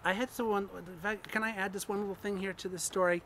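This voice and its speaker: A man's voice: sits high, fairly even intonation, fast, fairly bright tone, slight background noise. A man giving an informal personal talk to an audience, hesitant and searching for words but enthusiastic. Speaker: story reader speaking to an audience.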